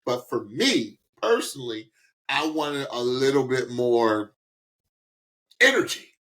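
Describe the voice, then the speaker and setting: Animated man hosting a podcast. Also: medium pitch, takes it slowly. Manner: animated, emphatic, informal, repeats words for emphasis. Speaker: podcast host. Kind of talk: casual reaction podcast talk, giving opinions.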